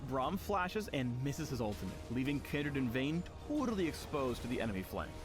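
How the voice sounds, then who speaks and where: A man's voice: mid-range voice, very animated, brisk, over music. A man reading scripted game commentary as a spotlight narrator, in a polished, explanatory way.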